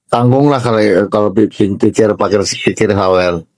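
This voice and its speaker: A man's voice: on the low side, moderate pace, a little mellow. A man in a live-stream gossip chat, stammering and repeating words, scornful when he tells a story.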